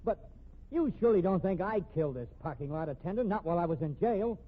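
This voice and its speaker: An actor playing an exasperated, high-strung man in a 1950s radio crime drama. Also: expressive, quick pace, narrow, tinny audio. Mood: insistent. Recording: noisy, reverberant, thin 1950s radio recording.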